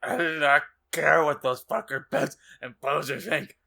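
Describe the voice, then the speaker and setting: A man's voice: on the high side, steady tone, unhurried, vocal fry, bright, forceful tone, voice pushed up, full character voice. A man voicing an anguished, lovesick teenage goth boy with overwrought delivery in a comic audiobook. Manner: overwrought, emphatic.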